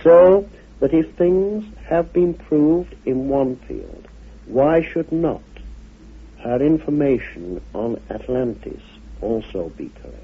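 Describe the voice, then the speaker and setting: A man explains esoteric history like a lecturer, in measured phrases with emphatic pauses. His voice is husky and very lively in pitch. The sound is narrow and muffled, like vintage radio heard down a phone line. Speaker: lecturer, guest speaker on a documentary.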